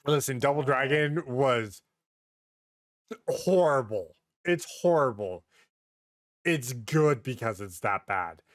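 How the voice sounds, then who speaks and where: A man talks in a loose, comedic podcast style at an average pitch. He leans into exasperated, sweary rants, and the sound is dry with little room to it. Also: lively intonation, takes it slowly, long pauses, no room sound. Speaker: podcast host. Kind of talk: casual comedic podcast chat.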